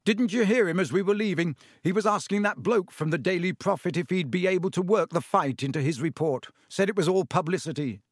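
A man voicing a cheeky, excitable teenage boy with a breezy manner in a storybook. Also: high-pitched, brisk, hardly any pauses, husky, no echo, clean studio sound, voice pushed up. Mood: amused.

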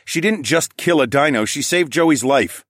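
A man's voice: on the high side, animated, normal speed, fairly bright tone, no echo, studio quality, voice pushed up. A man voicing a firm, protective, sardonic male group leader in an audiobook. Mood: indignant.

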